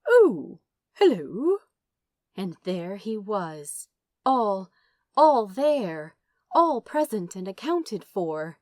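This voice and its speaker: A woman reading a story aloud as a narrator, with dramatic, deliberate phrasing and drawn-out words. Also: mid-range voice, expressive, no room sound, studio quality. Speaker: audiobook narrator. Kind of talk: expressive scripted story narration.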